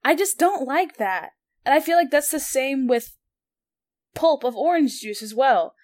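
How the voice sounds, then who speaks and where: High-voiced young woman, teasing. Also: animated, measured pace, darkish tone. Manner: teasing, blunt opinions. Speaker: podcast co-host. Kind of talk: casual chat. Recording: poor audio quality.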